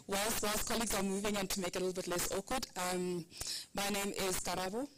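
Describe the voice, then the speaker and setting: A woman from South Africa facilitating a workshop in a conference room, speaking into a handheld mic in a relaxed, jokey way as she directs the audience. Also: mid-range voice, normal speed. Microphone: handheld microphone with a PA system. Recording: noisy room recording.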